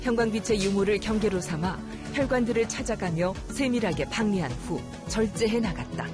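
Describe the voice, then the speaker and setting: A narrator reading a scripted medical documentary in a measured, formal way. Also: medium pitch, lively intonation. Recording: music behind the voice. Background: background music.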